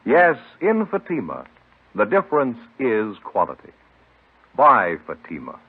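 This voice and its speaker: A man's voice: medium pitch, wildly swooping pitch, measured pace, heavy background noise. A man announcing in dramatic 1950s radio style, emphatic and declamatory. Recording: tinny old radio recording, loud music.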